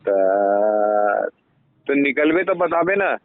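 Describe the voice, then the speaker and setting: A man's voice: mid-range voice, very animated, extremely slow, no room sound. A man with a Chhattisgarhi accent in a private recorded phone conversation, speaking in terse, informal phrases. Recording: tinny, dry recorded phone call.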